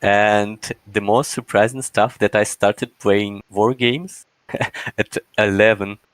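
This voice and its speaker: Slow, hesitant young man. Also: fairly low pitch, fairly even intonation, no echo. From Russia. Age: 20s. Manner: hesitant, searching for words. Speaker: guest. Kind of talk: casual interview answers.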